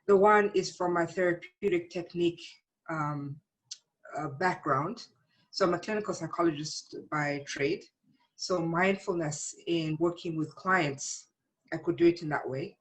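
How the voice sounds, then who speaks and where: A middle-aged woman, speaking as an interview guest in a measured, explanatory and reflective way. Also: fairly low pitch, low-quality recording. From born in Uganda, grew up in South Africa. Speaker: interview guest, psychologist and teacher.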